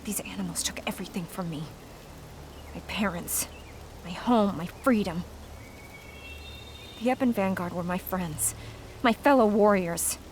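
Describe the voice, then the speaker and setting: A woman's voice: mid-range voice, unhurried, long pauses, background noise, natural voice. Woman as a fierce, bitter young soldier. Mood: bitter, grieving.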